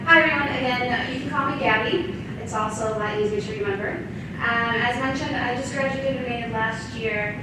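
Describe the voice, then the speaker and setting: A young woman from Detroit with a fairly high voice gives a casual, personal talk to an audience. She sounds distant in a very reverberant space, over a loud low rumble. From Detroit, United States. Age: 20s. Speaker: young social entrepreneur giving a keynote. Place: large indoor event room, speaking to an audience. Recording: very echoey, distant room recording with loud rumble.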